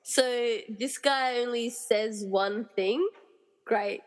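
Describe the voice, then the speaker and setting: A woman's voice: on the high side, extremely slow. A woman playing a reluctant, sarcastic heroine in an amateur comedy radio play, grumbling through her lines. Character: reluctant, exasperated heroine rescuing friends trapped in movies. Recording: reverberant hall-like echo.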